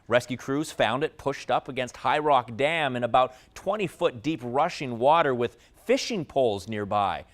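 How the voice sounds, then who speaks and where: A man's voice: mid-range voice, moderate pace, few pauses. A man with an American accent reading scripted television news as an anchor.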